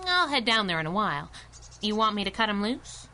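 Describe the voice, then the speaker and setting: An actress playing a tough, teasing, affectionate superhero sidekick in a 1930s-style radio drama. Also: female voice, mid-range voice, big pitch swings, brisk, bright, forceful tone. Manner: sassy, teasing, clipped.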